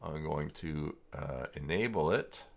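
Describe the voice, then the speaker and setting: A man hosting a casual, unscripted livestream tutorial, talking haltingly as he thinks aloud through each step. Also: very deep voice, vocal fry. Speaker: livestream host and web tutorial presenter.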